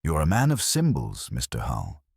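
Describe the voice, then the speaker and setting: An imperious, learned detective from a mystery audiobook, acted out by a man. He speaks formally and deliberately in a very deep voice with varied intonation, recorded dry. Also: wildly swooping pitch, slightly rough, no room sound, full character voice. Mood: lecturing, exacting. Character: imperious, erudite male detective, deliberate and terse. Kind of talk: detective audiobook character dialogue.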